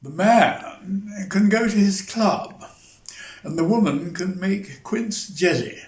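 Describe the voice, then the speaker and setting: Man playing a slow, high-voiced pompous clergyman. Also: darkish tone. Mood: complacent. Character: fussy, genteel older clergyman uncle who loves jelly. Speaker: amateur actor in dramatized reading. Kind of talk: dramatized novel reading. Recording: echoey room sound.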